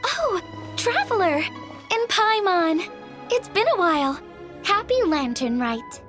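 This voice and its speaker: An earnest young game heroine, high and girlish with very lively intonation. She sounds wide-eyed and slightly flustered, with soft music behind her. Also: takes it slowly, exaggerated voice. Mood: delighted. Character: earnest, expressive young village woman, easily amazed. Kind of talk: video game voice acting.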